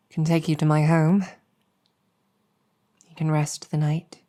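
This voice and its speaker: A woman playing a gentle, earnest, world-weary demon general in an ASMR roleplay, speaking softly and reassuringly with measured hesitation. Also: low-pitched, natural voice. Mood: warm, inviting. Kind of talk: improvised ASMR roleplay storytelling.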